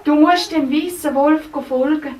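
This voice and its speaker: A woman storyteller voicing a wise, kindly helper figure, lower and soothing. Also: a little slow, a little mellow. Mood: advising. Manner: low, soothing and advising. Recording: roomy live stage sound from far off, faint music.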